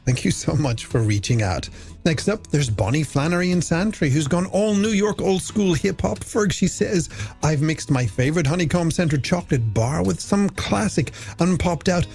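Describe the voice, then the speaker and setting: A man's voice: fairly high pitch, animated, normal speed, vocal fry, darkish tone. A man hosting late-night music radio, reading out listener messages in playful, flowery, alliterative patter on a studio microphone. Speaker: radio host. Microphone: studio microphone on a stand.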